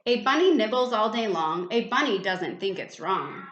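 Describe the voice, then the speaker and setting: A woman's voice: mid-range voice, normal speed, a little hiss or hum. Woman reading a storybook in an echoey room. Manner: expressive, with questions and exclamations for children. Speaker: teacher reading a picture book aloud. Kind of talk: storybook read-aloud with asides to young listeners. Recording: echoey room, slightly dull sound.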